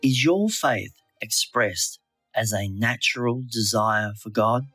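A man reads a scripted spiritual reflection in an earnest, deliberate manner. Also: lowish voice, bright, forceful tone. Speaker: lay reflection speaker. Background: background music.

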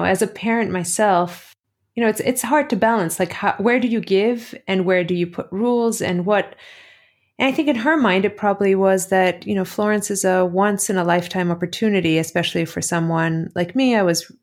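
A middle-aged woman with a slightly low voice and an American accent. She shares reflective personal stories as an interview guest, conversational and often rephrasing as she thinks aloud. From Athens, Greece.

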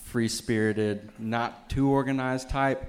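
A young man from West Virginia, a newlywed panel guest, soft-spoken and laid-back. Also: medium pitch, steady tone, takes it slowly. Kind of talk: casual chat.

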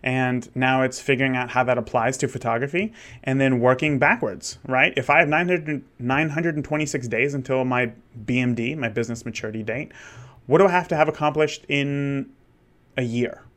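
A man's voice: medium pitch, normal speed, a bit bright. A man of thirty, a wedding photographer, talking candidly in a rambling solo monologue with many restarts. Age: 30s. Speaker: wedding photographer and podcast host.

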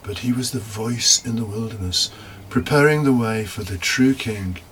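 Low-voiced man preaching, noisy recording. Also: normal speed, fairly bright tone. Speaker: deacon preaching. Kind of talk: homily, read from prepared text.

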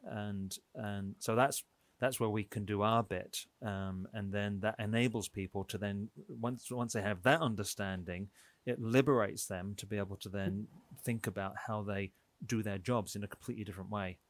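Lower-voiced man, earnest. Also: steady tone, moderate pace, no room sound. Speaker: guest. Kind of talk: conversational explanation.

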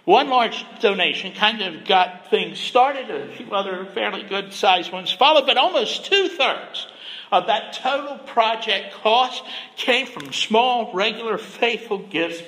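A middle-aged man preaching a sermon as a pastor, soft-spoken, gentle and measured. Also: high-pitched, animated, long reverb tail, poor audio. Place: church sanctuary.

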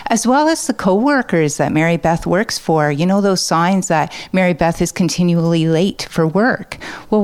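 Low, smooth-voiced woman. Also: a little mellow. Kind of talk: explanatory panel discussion.

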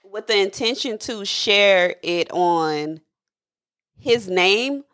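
A woman's voice: mid-range voice, animated, slow pace, fairly bright tone. A woman of thirty hosting a podcast, asking questions and telling frank stories with frequent giggles. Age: 30s. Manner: frank, giggly, quizzes her guest. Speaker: podcast host. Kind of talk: casual chat.

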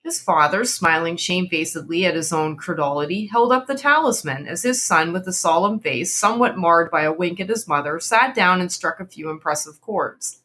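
A woman's voice: on the low side, lively intonation, normal speed, hardly any pauses, sharp tone. A woman narrating a classic horror story aloud in a dramatic, scripted reading style.

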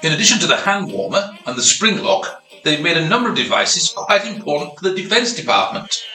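A man's voice: sits high, sing-song, fairly quick, reverberant, distant mic, music in the background, low-quality recording. A man playing a helpful, informative ghost companion in an amateur radio play.

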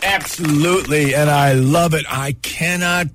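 A man who is a longtime radio station manager, telling stories on air in a loud, emphatic, enthusiastic voice. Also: on the high side, steady tone, a little slow. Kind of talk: storytelling guest on a radio show. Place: radio studio.

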